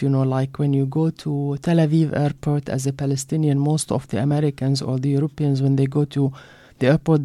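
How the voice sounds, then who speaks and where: Casting: middle-aged woman author from Jordan, Arabic accent, warm mellow tone, animated storyteller, dry studio sound. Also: rumbling low, no echo. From Jordan (Amman). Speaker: author, interview guest. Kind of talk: personal storytelling in an interview. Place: broadcast studio.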